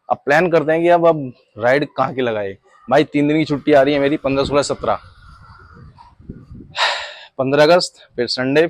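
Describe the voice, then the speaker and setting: Male travel vlogger, mid-pitched, casual conversational delivery, very dry recording. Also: dry recording. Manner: conversational, often addressing the viewer as brother. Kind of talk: casual vlog chat.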